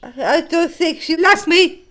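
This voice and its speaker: A guileless young servant girl played by a woman in a period audio play. Her voice is high and plaintive, and she speaks slowly and haltingly. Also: a bit bright, slight background noise. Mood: hurt, plaintive. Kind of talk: audio drama acting.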